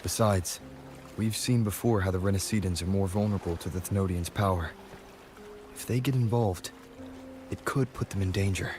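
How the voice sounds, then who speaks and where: A low-pitched, guarded video game hero, voiced by a man. He is serious and level-headed, speaking firmly and plainly over faint music. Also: moderate pace, a little mellow, close to an everyday voice.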